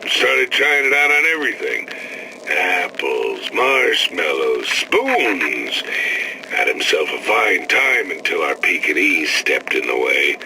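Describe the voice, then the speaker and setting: A man's voice: deep voice, wildly swooping pitch, takes it slowly, creaky, pushed and bright, full character voice. An actor playing a wry, exasperated father grumbling casually and dryly in a game audio diary. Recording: noisy old audio-diary recording.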